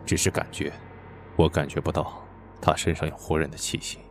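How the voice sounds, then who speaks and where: A man's voice: very deep voice, a little fast, gravelly. A man voicing a cold, aloof young swordsman in an audio drama who speaks curtly in very few words. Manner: curt, flat, few words.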